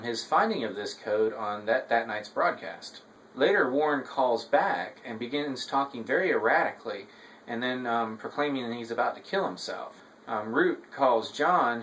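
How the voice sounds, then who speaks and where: A man gives a recap in a mid-range voice, speaking as a reviewer to his audience. His delivery is halting, often pausing on an um before the next phrase. A faint noise sits behind the voice. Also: mid-range voice, a bit bright, low-quality recording. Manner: halting, hesitant delivery with frequent ums. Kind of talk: episode recap narration to audience.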